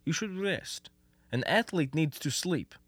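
A man's voice: a little high, lively intonation, measured pace, fairly bright tone, husky, no echo, exaggerated voice. A young man acting in a radio comedy as a confident, scheming who coaxes in wordy, stilted foreign phrasing. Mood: self-satisfied, soothing. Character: scheming, confident Russian male defector posing as an American. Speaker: student actor.

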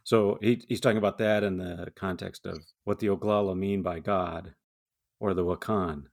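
Male podcast host, very low voice, conversational interviewer. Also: fairly even intonation, moderate pace, gravelly. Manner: conversational, asks questions.

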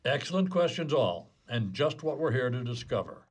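A man acts out a detective from a comedy whodunit radio play. He is wry and commanding, laying out his case in theatrical declarations at a middling male pitch. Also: big pitch swings, moderate pace, slightly rough. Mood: wry, confident.

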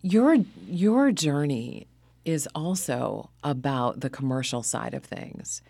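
A man's voice: mid-range voice, lively intonation, slow pace, creaky, darkish tone, dry recording. A man hosting a radio interview, asking questions in a conversational style.

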